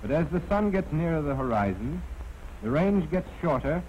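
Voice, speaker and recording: An American man reading scripted 1940s training film narration in a measured, formal, instructive manner, on an old film soundtrack. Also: fairly high pitch, sing-song, darkish tone, lo-fi sound, slight background noise.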